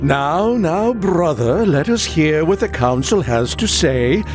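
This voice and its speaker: Haughty, theatrical scheming prince. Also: male voice, on the high side, expressive, slow, soft background music. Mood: smug. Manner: pompous, theatrical. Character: haughty, sneering, self-important scheming prince. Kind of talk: video game cutscene acting.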